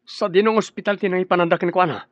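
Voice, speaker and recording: A man's voice: high voice, steady tone, rapid-fire, no echo, lo-fi sound, natural voice. A man with a Filipino accent playing a devoted, eager young husband in a radio drama, speaking earnestly. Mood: concerned. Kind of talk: Ilocano radio drama acting.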